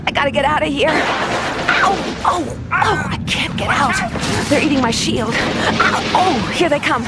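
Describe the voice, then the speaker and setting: Wry space gumshoe over loud music. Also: female voice, high voice, lively intonation, measured pace. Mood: alarmed. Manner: sardonic, punchy, clipped. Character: tough, wry female space detective. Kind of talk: radio play acting with first-person narration.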